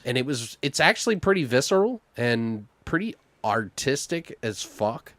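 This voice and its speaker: Casual male co-host in his thirties, mid-range voice, animated and foul-mouthed, recorded dry. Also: medium pitch, moderate pace, no room sound. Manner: animated slangy talk with frequent swearing. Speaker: podcast co-host. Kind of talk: casual chat. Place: home studio room.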